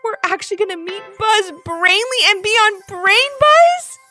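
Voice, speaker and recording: Woman putting on an excited young boy, lively pitch. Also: unhurried, faint music behind. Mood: amazed. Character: excited young boy, cheerful confident kid.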